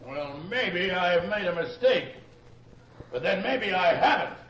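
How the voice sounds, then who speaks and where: A gloating, mocking farmer in an old radio play. He is high-pitched and very slow, drawing out his taunts theatrically. The sound is echoey and lo-fi. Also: animated, a bit bright, slight background noise, exaggerated voice. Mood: sly, gloating. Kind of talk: old-time radio acting.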